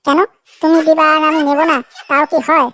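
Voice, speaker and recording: A woman's voice: high-pitched, level tone, fairly quick, fairly bright tone, a little hiss or hum. A woman voicing a coy, demanding young girlfriend in a Bengali comedy cartoon, whiny and pleading, often repeating herself.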